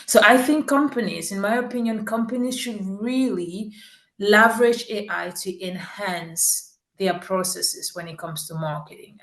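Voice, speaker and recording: A woman's voice: medium pitch, fairly bright tone, echoey room. A woman from Cameroon, a marketing executive answering interview questions earnestly, often rephrasing herself mid-sentence.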